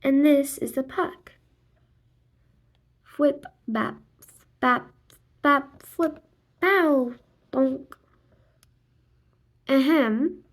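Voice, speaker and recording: Lady doing a kids book read aloud, kinda high voice, slow, acts out the lines and sound effects. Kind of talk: expressive read-aloud of a children's graphic novel, voicing dialogue and sound effects.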